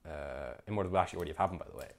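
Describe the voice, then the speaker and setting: A young Irish man co-hosting a podcast, chatting quickly and casually, laughing often. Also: deep voice, gravelly, crowd noise. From Ireland. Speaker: podcast co-host. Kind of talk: casual gaming chat.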